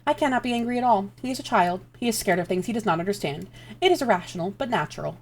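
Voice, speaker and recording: A woman's voice: medium pitch, animated, fast talker, a little hiss or hum, lightly acted. A woman voicing a stern, ancient female cult leader who speaks tersely and formally. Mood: calm.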